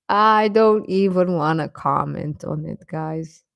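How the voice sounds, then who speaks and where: Low-voiced woman chatting casually, close and dry. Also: vocal fry, dry recording. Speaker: live stream host. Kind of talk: casual chat with live stream viewers.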